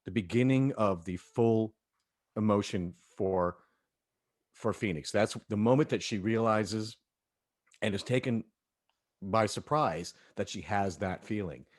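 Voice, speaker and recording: Male host for cast talkback, lowish voice, enthusiastic, conversational. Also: measured pace. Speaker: cast member and director hosting a cast discussion. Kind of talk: casual panel chat about an audio drama.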